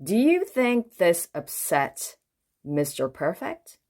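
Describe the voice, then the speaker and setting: Female children's storyteller, expressive and lively in pitch, unhurried, engaging the listener directly. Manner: expressive, asks the listener questions. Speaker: children's book reader. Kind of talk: animated read-aloud storytelling for children.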